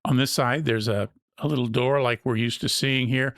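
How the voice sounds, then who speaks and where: A man's voice: medium pitch, fairly even intonation, moderate pace, fairly bright tone, no room sound. Man giving a dry, close-sounding gadget walkthrough. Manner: methodical, explanatory. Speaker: gadget review host. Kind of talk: unscripted product walkthrough.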